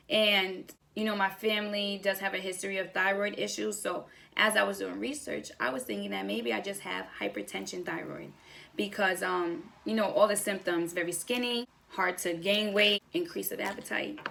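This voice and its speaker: A woman's voice: medium pitch, creaky, a bit bright, a little hiss or hum. A young woman telling a personal story in a casual vlog style, acting out bits of conversation as she goes. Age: young adult. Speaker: vlogger.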